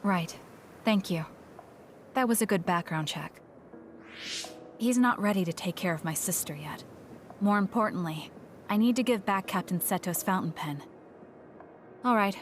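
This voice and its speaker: A woman's voice: medium pitch, normal speed, long pauses, a little hiss or hum, lightly acted. An actress playing a cool, blunt, guarded young female military recruit in a video game. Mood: curt. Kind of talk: English video game dub acting.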